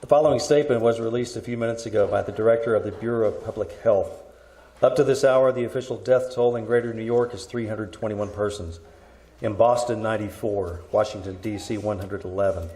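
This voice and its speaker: An actor playing a sober male newscaster in an old-time radio drama, reading in a formal, measured, official way. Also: fairly low pitch, steady tone, mellow, lightly acted. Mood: sober, urgent. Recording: roomy live stage sound.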